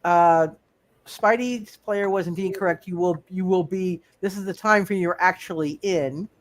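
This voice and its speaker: A man running a live roleplay game as game master, chatting casually and often halting to repeat himself. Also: high voice.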